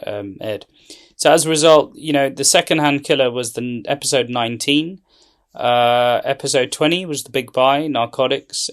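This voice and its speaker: Hesitant man introducing a show. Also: medium pitch. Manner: hesitant, halting, self-correcting. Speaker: channel host. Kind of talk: rambling, loosely improvised show introduction.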